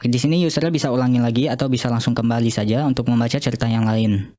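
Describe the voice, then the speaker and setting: Young guy talking through an app demo, soft dark tone, kinda hesitant, unscripted. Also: medium pitch, fairly even intonation, fast. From Indonesia. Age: young adult. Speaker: student presenter of an app demo. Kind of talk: informal screen-demo walkthrough.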